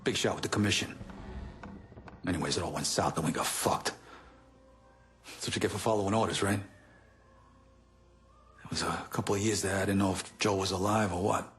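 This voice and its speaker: A man's voice: sits low, steady tone, fairly quick, long pauses, a bit bright, breathy edge, heavily acted. A man acting a weary, profane middle-aged gangster in a video game, talking bluntly and streetwise. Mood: shaken, grieving. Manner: blunt, streetwise, heavy swearing.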